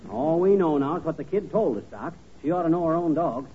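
An actor playing a bumbling, folksy American husband in a 1940s radio comedy. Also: male voice, fairly high pitch, lively intonation, a little fast, breathy edge, narrow, tinny audio. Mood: glum. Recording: thin, noisy 1940s radio recording.

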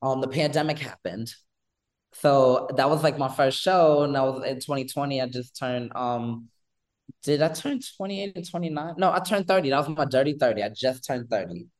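A man in his thirties from New York, a guest on an interview show, talking in an animated, rambling way with lots of fillers. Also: mid-range voice, normal speed. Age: 30s. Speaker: guest, pole dance instructor. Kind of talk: casual interview chat.

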